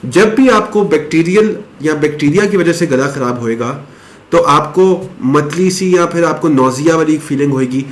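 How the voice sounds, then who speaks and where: A man explaining a home remedy step by step in a casual, chatty way, now and then clearing his throat. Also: on the high side, normal speed. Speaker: health advice presenter. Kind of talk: casual explanatory talk to camera.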